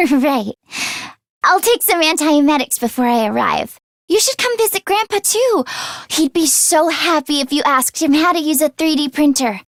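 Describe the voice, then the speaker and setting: A woman voicing an earnest, anxious teenage girl mechanic in an anime-style video game, expressive and shifting between timid and sassy. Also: sits high, moderate pace, no echo, clean studio sound. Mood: soft, affectionate. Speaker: voice actress.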